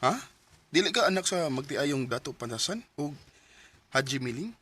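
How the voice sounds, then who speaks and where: A man's voice: a little high, animated, normal speed, lightly acted. A man acting an earnest, tender, hesitant suitor in a radio drama. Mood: curious, tentative. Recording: music behind the voice.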